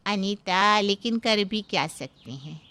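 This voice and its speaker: A woman's voice: medium pitch, lively intonation, unhurried, sharp tone, no room sound. A woman voicing a meddling neighbour auntie in a cartoon story, insinuating and reproachful. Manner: taunting, insinuating.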